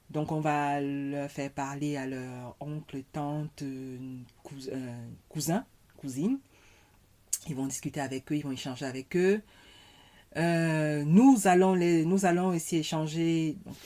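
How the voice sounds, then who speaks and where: Low, mellow-voiced woman. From Cameroon. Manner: thoughtful, halting, searches for words. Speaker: podcast guest. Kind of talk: casual conversation.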